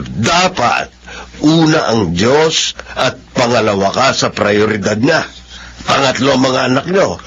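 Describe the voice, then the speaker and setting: An actor playing an older father who calmly lectures his married daughter in a radio drama, measured and instructive. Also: medium pitch, slightly rough. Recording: lo-fi with faint hiss.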